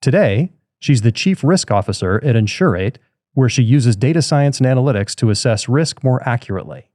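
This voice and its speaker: A man's voice: mid-range voice, expressive, brisk, a little mellow, no echo, clean studio sound. A man hosting a podcast who reads a friendly scripted introduction and then asks questions in easy conversation. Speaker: podcast host. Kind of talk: podcast interviewing.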